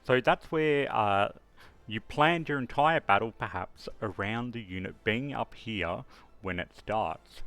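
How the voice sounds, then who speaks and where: A man with a fairly low voice presents a screen-recorded tutorial. He talks in a casual, informal way, explaining things one step at a time. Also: unhurried, a bit bright.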